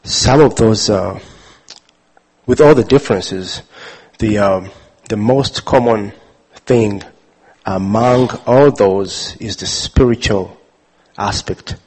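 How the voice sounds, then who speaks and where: Halting low-voiced man from Uganda. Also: a little mellow, husky, poor audio. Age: adult father. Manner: halting, many fillers and restarts. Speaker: speaker sharing his testimony. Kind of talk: personal testimony talk.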